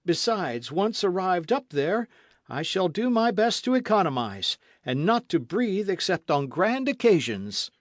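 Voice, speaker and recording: A bold, playful adventurer, put on by a male audiobook reader speaks in a high, loud, sing-song voice. He sounds supremely confident, almost teasing. The sound is dry and close. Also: big pitch swings, measured pace, no room sound. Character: bold, witty adult Frenchman adventurer. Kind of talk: audiobook character reading.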